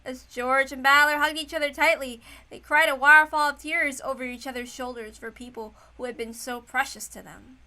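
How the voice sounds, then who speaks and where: A woman's voice: high-pitched, fairly even intonation, fairly quick, few pauses, sharp tone. A woman reading scripted, dramatic narration from a visual novel.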